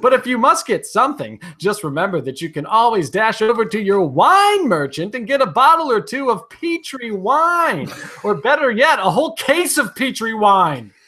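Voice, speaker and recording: Man putting on a bright, chipper 1940s radio announcer. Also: very high voice, exaggerated voice. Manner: emphatic, folksy salesman delivery.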